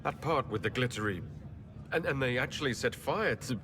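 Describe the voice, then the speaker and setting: An actor playing a composed, good-humoured heroic leader in a video game, polite and gently dry. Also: male voice, mid-range voice, expressive, normal speed, background noise, lightly acted. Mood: delighted. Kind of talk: video game cutscene acting.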